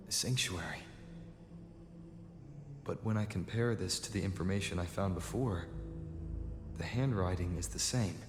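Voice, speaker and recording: A man voicing an earnest low-voiced young adventurer, echoing. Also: natural voice. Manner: measured, pondering aloud, articulate. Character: earnest, thoughtful young male adventurer piecing clues together. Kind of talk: video game voice acting, story dialogue. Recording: echoey hall reverb, music behind. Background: game music.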